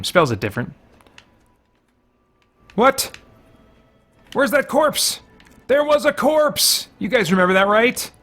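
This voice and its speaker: A man's voice: on the high side, fairly bright tone. A middle-aged man hosting a gaming show, giving casual commentary and thinking aloud in a rambling way.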